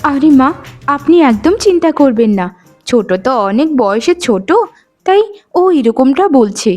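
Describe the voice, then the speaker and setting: Cartoon role: gentle, dutiful elder daughter-in-law, high soothing voice, light music underneath. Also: female voice, animated, normal speed. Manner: soothing, reasoning. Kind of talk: Bengali cartoon voice acting.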